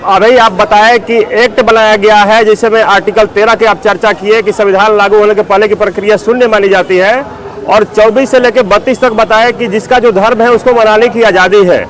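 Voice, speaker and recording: A man with a very high-pitched voice interviews someone as a street reporter. He speaks in questioning news-interview style, recorded outdoors. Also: steady tone, brisk, a bit bright, poor audio.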